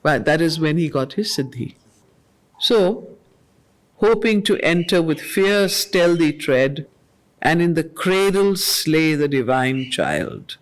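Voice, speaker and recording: A woman leads a study circle, reciting poetry at a very unhurried pace. She repeats key lines with weight before unpacking their spiritual meaning. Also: low voice, very animated, low-quality recording. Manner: slow, measured recitation, repeating lines for emphasis. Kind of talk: reading poetry aloud with spiritual commentary. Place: meeting room of a study group.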